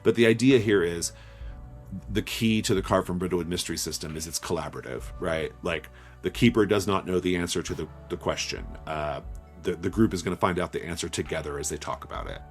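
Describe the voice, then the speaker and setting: A man's voice: low voice, a little fast, faint music behind. A man speaking as an interview guest and game designer, explaining things step by step in a conversational way and often checking in as he goes.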